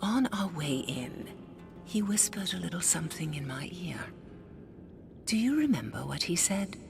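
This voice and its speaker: A wise blind songstress of older years for a video game, low and hushed, often dropping to an intimate near-whisper. Her pitch still rises and falls freely as she teases and muses, with faint music underneath. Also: sing-song, moderate pace, creaky. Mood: tender. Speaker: game character.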